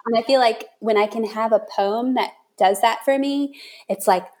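A woman hosting a casual interview chat, thinking aloud in a halting way as she works toward her point. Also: a little high, darkish tone. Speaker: podcast host and writer.

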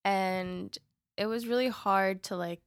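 A woman's voice: mid-range voice, slow pace, clear, resonant tone, dry recording, studio quality. A woman in her twenties from Queens, a native Spanish speaker, chatting casually as a podcast guest with many fillers and frequent laughs. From Queens, New York. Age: 20s. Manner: relaxed, filler-heavy, laughing often. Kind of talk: casual interview chat.

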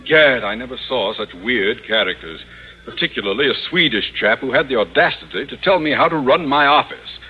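A man's voice: medium pitch, lively intonation, brisk, breathy edge. A man acting a pompous, bossy, blustering middle-aged school principal in theatrical old-time radio comedy. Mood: self-satisfied, blustering. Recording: muffled, faintly noisy old radio recording.

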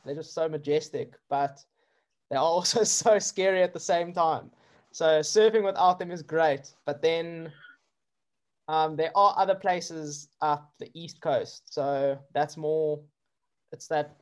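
A man from South Africa, a surfer who films with drones, chatting casually in an interview with lots of fillers. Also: a little high. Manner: relaxed, chatty, many fillers and laughs.

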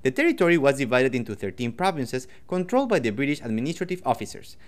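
A man's voice: medium pitch, big pitch swings, fast talker. A man from Ecuador co-hosting a scripted history podcast, reading facts with joking asides. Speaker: podcast co-host.

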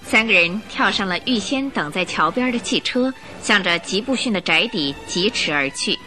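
Bright, expressive woman radio narrator. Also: medium pitch, normal speed, soft background music. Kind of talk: dramatic scripted storytelling for a radio drama.

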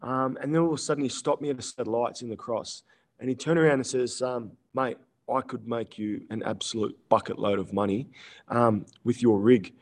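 A man's voice: medium pitch, fairly even intonation, a little fast. This is a man in his late thirties chatting on a podcast. He rambles through stories with lots of ums and easy laughter, in a medium voice. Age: 30s. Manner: laid-back storytelling with frequent ums and laughs. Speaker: guest. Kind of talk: casual podcast chat.

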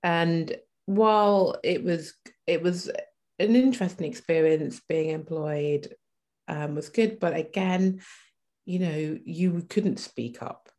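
A woman in her forties telling her personal story as an interview guest, reflective and halting as she pauses mid-thought. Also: on the low side, a little mellow. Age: 40s.

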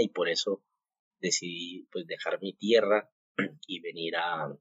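A man from Colombia in his thirties hosting a podcast, sharing a devotional thought in a halting way, with many fillers and restarts. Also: low voice, husky, dry recording. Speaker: podcast host. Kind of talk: casual devotional talk. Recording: muffled, dull home recording.

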